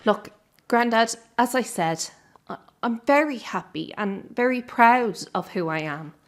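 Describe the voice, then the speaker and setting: A woman's voice: on the high side, lively intonation, measured pace. An actress playing a proud, direct young woman, a granddaughter with a mischievous streak, in a radio drama. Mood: nervous, earnest. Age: young adult. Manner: earnest, direct. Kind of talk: naturalistic radio drama acting.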